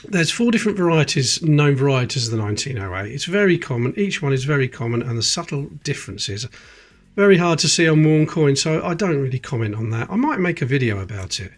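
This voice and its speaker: A man's voice: mid-range voice, very animated, fast talker. A British man hosting a coin collecting video, chatting casually and reading out dates as he sorts through coins at a table. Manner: chatty, reads out dates as he goes. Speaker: coin collecting channel host. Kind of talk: casual commentary while sorting coins. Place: indoors at a table. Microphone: close camera, hands-only framing.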